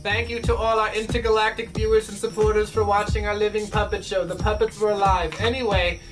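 A man with a high, flat voice delivers a pompous, mock-grand sign-off as a puppet show host. He sounds distant from the microphone, under loud music. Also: a little fast.

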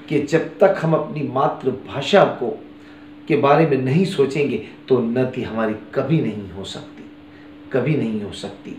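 A man's voice: medium pitch, a little mellow. A middle-aged man teaching a lesson on a poem to students, talking earnestly and repeating key phrases, on a lapel mic. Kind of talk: classroom lesson explaining a poem. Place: studio with green screen background. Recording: roomy studio with faint noise.

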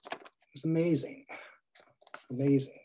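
A priest in his sixties preaching a gentle sermon from a home study over a video call, his phrases trailing off softly. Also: medium pitch, fairly even intonation, a little slow, slight background noise, poor audio. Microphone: video call webcam.

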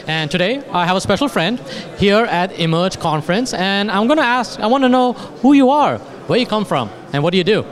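A man's voice: high-pitched, animated, brisk. A man in his forties hosting a conference interview, hyped up and stammering through repeated words, speaking into a handheld mic. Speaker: host. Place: conference hall, in front of a banner wall. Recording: busy conference crowd behind. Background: crowd chatter.

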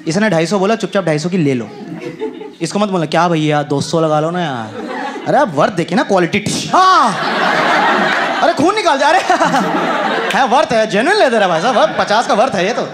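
A young man performs stand-up into a handheld mic on an indoor club stage. His pitch jumps around constantly as he acts out street vendors in put-on voices. A loud audience roars with laughter over a noisy room. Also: big pitch swings, brisk, crowd noise. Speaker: stand-up comedian. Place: indoor comedy stage with brick wall and a live audience. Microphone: handheld microphone.

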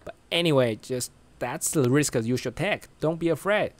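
Chinese-accented man, halting practice talk. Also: mid-range voice, expressive. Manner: halting, many restarts and fillers. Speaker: vlogger. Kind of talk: casual English speaking practice. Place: small bright room indoors. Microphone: studio mic close in front.

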